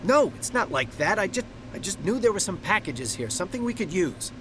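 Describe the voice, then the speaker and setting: A man's voice: sits high, big pitch swings, fairly quick, background noise. A man voicing a shaken, evasive colony farmer in a video game, plain-spoken and defensive.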